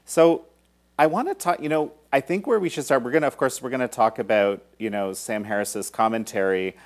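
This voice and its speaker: A man's voice: medium pitch, fairly quick. A man hosting an interview podcast, asking rambling questions with many fillers. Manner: hesitant, filler-heavy questions. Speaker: podcast host. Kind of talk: interview hosting and read sponsor ad.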